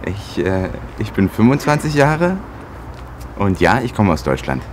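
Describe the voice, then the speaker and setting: A man in his twenties playing a friendly, easygoing German flatmate, speaking relaxed with hesitant fillers. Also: lowish voice, measured pace, slight background noise. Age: 20s. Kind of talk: scripted German-learning drama.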